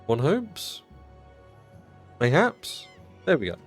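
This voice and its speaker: A man's voice: a little high, wildly swooping pitch, slow, soft background music. A man streaming a city-building game, chatting casually and rambling, his nose blocked up because he just rolled out of bed.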